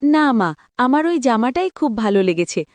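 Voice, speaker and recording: High-voiced, earnest cartoon little girl. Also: sing-song, normal speed, no room sound. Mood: dreamy, insistent. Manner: pleading, earnest. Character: eager, hopeful young daughter of a poor family. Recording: background music under the voice.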